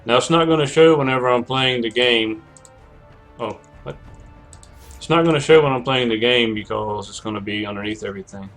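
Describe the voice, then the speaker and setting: A man's voice: mid-range voice, a little fast, a bit bright, faint music behind. A man streaming a video game, chatting casually and rambling with many self-corrections, his voice cracking from a cold. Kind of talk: casual gameplay commentary.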